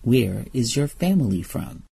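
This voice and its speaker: A woman hosting a radio program, reading scripted narration in a measured, deliberate way. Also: rumbling low, very animated, gravelly, mellow, slightly rough. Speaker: radio host.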